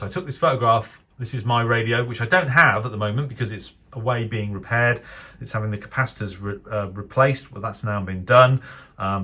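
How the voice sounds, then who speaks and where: A British man with a fairly low voice talks through a review as an enthusiast would. His commentary is casual and unscripted. He gives his opinions in a measured way, pausing to find the right phrase. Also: pushed and bright. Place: voice-over over still images.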